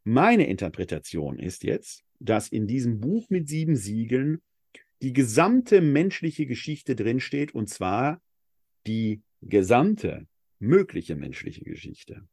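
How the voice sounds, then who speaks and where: A man from Essen giving a Bible lecture, reading scripture slowly and with weight before explaining it reflectively. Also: mid-range voice, sing-song, a little mellow, breathy edge, dry recording, clean studio sound. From Germany (Essen). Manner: reads scripture passages slowly and with emphasis, then explains in a reflective tone. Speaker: Bible teacher.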